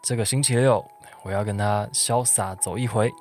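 Man playing a talkative, lovestruck schoolboy, low-voiced. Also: steady tone, normal speed, close to an everyday voice. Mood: quiet resolve. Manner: wordy, mock-solemn, theatrical. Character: talkative, lovestruck high school boy, dramatic and earnest. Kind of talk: radio drama dialogue and inner monologue. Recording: music behind the voice.

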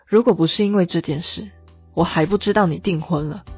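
A woman's voice: lowish voice, a little fast, breathy edge. A woman playing a reserved, composed female bar manager in an audio drama, speaking tersely with a Taiwanese Mandarin accent. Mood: cool, curt. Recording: slightly dull audio with music.

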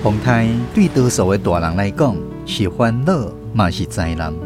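A man's voice: lowish voice, lively intonation, normal speed. A man with a Taiwanese accent narrating a scripted radio story as its host. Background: soft plucked-guitar music.

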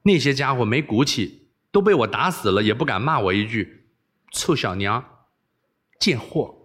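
A man's voice: mid-range voice, expressive, normal speed, a bit bright. Man doing a wilful, coaxing young princess. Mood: coy, confiding. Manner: coaxing, bossy, playful. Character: spoiled, wilful young princess who relishes being scolded.

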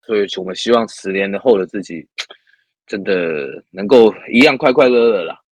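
Husky low-voiced male host. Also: lively intonation, normal speed, no room sound, highs cut off. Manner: encouraging, frequent murmured agreement, playful. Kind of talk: casual interview hosting.

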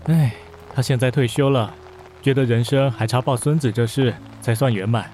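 A man's voice: mid-range voice, fairly even intonation, moderate pace, a little mellow, faint music behind, natural voice. An actor playing an aloof, curt male boss of about thirty in a modern comedy radio drama. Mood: weary. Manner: curt, cool, exasperated.

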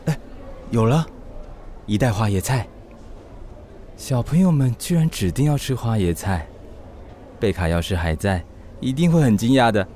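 A man's voice: medium pitch, lively intonation, moderate pace, long pauses, dark tone, slight background noise, natural voice. An actor playing a good-natured, easily smitten widowed single father in a radio drama, musing to himself expressively. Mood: content, musing. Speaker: voice actor, lead. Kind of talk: radio drama acting with inner monologue.

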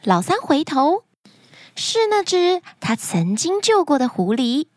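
A woman's voice: high-pitched, very animated, unhurried, darkish tone, no room sound, studio quality. A woman hosting a children's story podcast, narrating a fairy tale expressively and dramatically. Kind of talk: animated scripted storytelling for children.

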